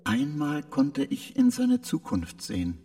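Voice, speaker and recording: Slow, pensive machine mind with creaky voice. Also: male voice, extremely high pitch, wildly swooping pitch, warm tone, faint music behind, exaggerated voice. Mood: awed. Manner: slow, deliberate, earnest. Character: gentle, pensive male spaceship computer facing shutdown. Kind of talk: radio drama acting.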